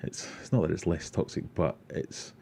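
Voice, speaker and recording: A man's voice: sits low, moderate pace, gravelly, mellow. A Scottish man from Glasgow hosting an aquarium livestream, casually answering chat questions and rambling with self-deprecating asides. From Glasgow, Scotland.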